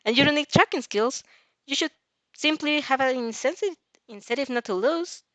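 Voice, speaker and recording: A woman voicing a bubbly, affectionate teenage girl in a fan story reading, gushing and chirping with excitement. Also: high-pitched, moderate pace, no echo, pitched above the performer's own voice. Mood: earnest, excited.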